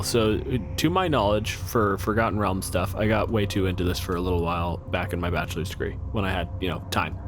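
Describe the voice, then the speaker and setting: A man's voice: lowish voice, noisy background. A man in casual comedic podcast chat, a panelist with a wry, teasing way of talking.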